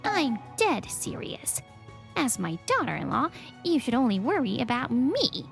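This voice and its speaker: Controlling elderly matriarch, played by a woman, imperious, slow, swooping high-pitched delivery for a manga dub. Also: big pitch swings, vocal fry, faint music behind, exaggerated voice. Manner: imperious, scolding. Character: haughty, controlling old mother-in-law.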